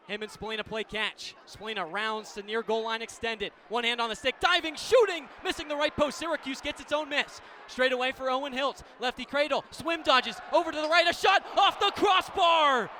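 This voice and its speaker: High-voiced American man calling live sports. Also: sharp tone, slight background noise. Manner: clipped, descriptive phrasing. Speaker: play-by-play radio commentator. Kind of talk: live lacrosse radio commentary.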